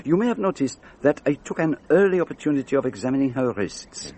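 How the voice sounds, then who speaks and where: An actor playing a brilliant, self-assured detective in a radio drama, precise and theatrical. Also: male voice, mid-range voice, sing-song, fast, breathy edge. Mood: explaining, deliberate. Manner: precise, theatrical, gently didactic.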